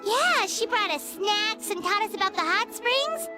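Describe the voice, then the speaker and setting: A tiny fairy girl for an anime game, extremely high and squeaky. The delivery is eager, cheerful and childlike, drawn out at a leisurely pace, with music behind it. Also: lively intonation, unhurried. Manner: speaks of self in third person, exclaiming.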